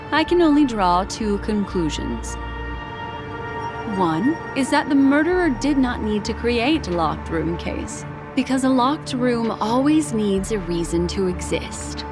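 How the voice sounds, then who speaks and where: An actress playing a composed, mysterious woman sleuth in a video game, speaking in a measured, formal way. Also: medium pitch, expressive, over music. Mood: coolly analytical. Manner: measured, formal, unhurried phrasing.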